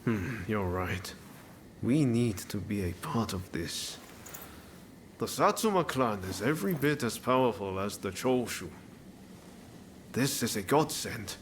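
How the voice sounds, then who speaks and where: Eager samurai rebel: lowish voice, earnest and decisive game drama, slight background noise. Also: male voice, lively intonation, measured pace. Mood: eager, convinced. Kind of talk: dramatic video game cutscene acting.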